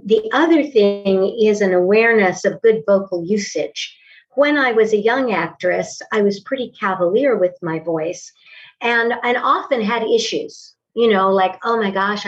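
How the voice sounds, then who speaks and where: An older woman in her sixties talks in a measured, articulate way about her teaching. The recording sounds dull and lo-fi. She is a little away from the mic. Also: medium pitch, far from the mic. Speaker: voice and acting teacher. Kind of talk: casual interview conversation. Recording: dull lo-fi audio, slightly off-mic.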